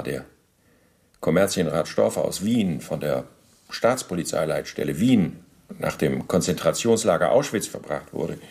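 Low, gravelly, pedantic bureaucrat. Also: male voice, darkish tone. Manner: officialese, stiff, shifting responsibility. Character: evasive Nazi bureaucrat under interrogation, self-justifying, pedantic. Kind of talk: German radio play, documentary interrogation.

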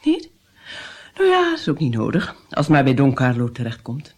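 A haughty princess in a radio play. She is brisk and commanding, with a very lively pitch range. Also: heavily acted. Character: imperious, brisk pale noble princess. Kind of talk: radio drama.

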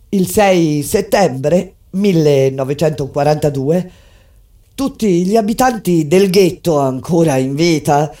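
An actress playing a grave witness of a wartime tragedy, narrating in a deliberate, solemn theatrical style with dramatic pauses. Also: female voice, on the low side, dark tone. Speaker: stage actress. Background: music.

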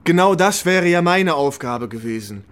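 An actor playing a weary American war reporter who reminisces candidly in a radio drama. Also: male voice, a little high, lively intonation, normal speed, a bit bright, clean tone. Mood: agitated.

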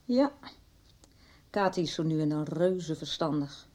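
A woman's voice: low voice, expressive, measured pace, darkish tone. An actress playing a middle-aged mother, fussing and conciliatory, in a radio soap. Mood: resigned. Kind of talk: 1990s radio soap acting.

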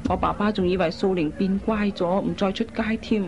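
An actress playing a worried, caring young woman in a radio drama, with an earnest, expressive delivery. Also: medium pitch, a little fast, lightly acted. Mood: fretful. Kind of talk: Cantonese radio drama acting. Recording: dull lo-fi recording, faint noise.

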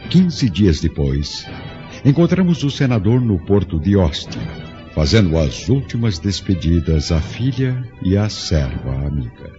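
A man's voice: low-pitched, big pitch swings, a little slow, warm tone. A man delivering scripted, dramatic narration for a radio drama. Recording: dull lo-fi recording, music behind.

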